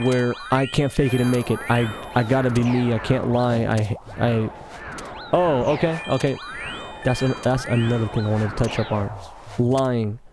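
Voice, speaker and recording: A man's voice: mid-range voice, noisy background. A young man with a slight Russian accent, rambling through casual gaming commentary and often breaking off mid-thought. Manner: talkative, meandering, self-interrupting. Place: office.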